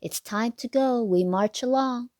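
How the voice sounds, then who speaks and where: A woman reading a children's picture book aloud with expressive, deliberate phrasing. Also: fairly high pitch, no room sound, studio quality. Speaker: read-aloud narrator for children.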